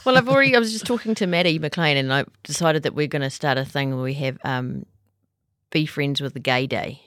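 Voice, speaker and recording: A woman hosting a casual chat, playful and quick to laugh. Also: sits low, a bit bright. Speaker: host.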